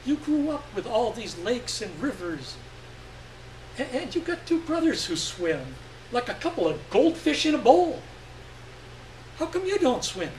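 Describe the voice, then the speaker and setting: Guy doing a needling chatty mom for a story, pitched up, full of questions, echoey room w faint noise. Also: big pitch swings, measured pace, long pauses, a little mellow, heavily acted. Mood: teasing, incredulous. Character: chatty, needling young mother, wife and boys' mom. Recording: roomy, distant mic with faint hum.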